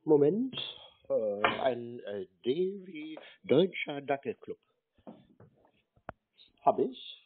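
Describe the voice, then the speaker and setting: A man on a prank phone call, stalling and acting slow-witted as he fumbles along with instructions. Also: medium pitch, sing-song, slightly rough. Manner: stalling, deliberately slow-witted, silly spelling words. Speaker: scam-baiter playing a clueless victim on a phone call. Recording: tinny phone line.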